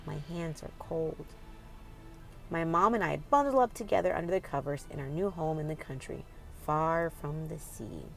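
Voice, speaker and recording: A woman's voice: low-pitched, normal speed, vocal fry, soft background music. A woman hosting a children's read-aloud, reading expressively and putting questions directly to young listeners in an instructive way.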